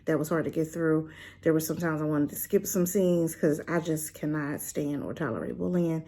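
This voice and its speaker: A woman's voice: sits low, normal speed. A woman casually reviewing a drama in a chatty, rambling way, full of fillers and asides. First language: not Korean. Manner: animated, with rhetorical questions.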